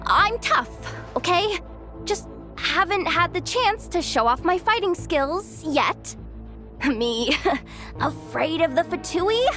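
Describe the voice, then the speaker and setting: Casting: jittery young female game guide, very high voice, swooping delivery, boastful but soft-hearted. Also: very animated, slow pace, soft background music, exaggerated voice. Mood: nervous bluster. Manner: flustered, boastful, stammering. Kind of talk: animated game voice acting.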